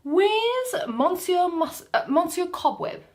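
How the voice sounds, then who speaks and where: A woman's voice: extremely high pitch, sing-song, takes it slowly, pitched above the performer's own voice, full character voice. Woman playing pompous donkey-headed man, swooping delivery. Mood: bossy, fussy. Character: Bottom, bumbling self-important man, part donkey, hungry and sleepy.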